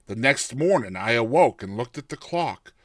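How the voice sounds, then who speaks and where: A man narrating a classic short story in a theatrical, expressive first-person style, like a dramatized audiobook. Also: medium pitch, normal speed, dry recording, studio quality.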